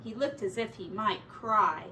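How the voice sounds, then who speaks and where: A middle-aged woman reading a children's storybook aloud like a teacher, in a measured, dramatic way. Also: mid-range voice, pushed and bright. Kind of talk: expressive children's storybook narration. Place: small attic-style bedroom, indoors. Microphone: distant camera mic. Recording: roomy, distant mic, faint noise.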